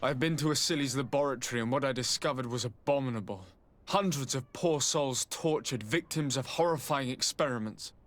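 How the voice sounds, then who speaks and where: An actor playing a principled nobleman in a game, earnest, formal and measured. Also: mid-range voice, close to an everyday voice. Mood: grave. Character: grave, principled nobleman reporting atrocities.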